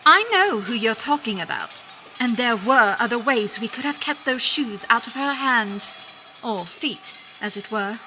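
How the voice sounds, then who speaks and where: An actress playing an earnest, protective good witch in an audio drama, with brisk, formal, theatrical phrasing. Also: fairly high pitch, animated, sharp tone, heavily acted, poor audio. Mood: reproachful. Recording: music behind the voice.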